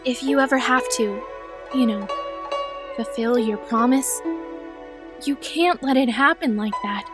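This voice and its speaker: Woman playing a high-voiced caring teenage girl. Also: measured pace, loud music behind the voice, lightly acted. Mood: caring, reasonable. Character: caring, sensible teenage girl, quick to exasperation. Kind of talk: animated comic dub acting.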